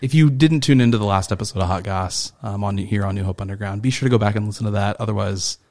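A man's voice: on the low side, fast talker, darkish tone. A man co-hosting a church podcast, teasing his co-host in a mock-serious way. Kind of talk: comedic podcast banter.

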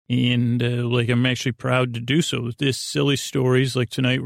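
A man hosting a sleep podcast with a creaky voice, talking in a mellow, lulling, halting way that meanders through tangents. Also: medium pitch, no room sound, studio quality. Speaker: host of a bedtime sleep podcast. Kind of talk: rambling, meandering bedtime monologue.

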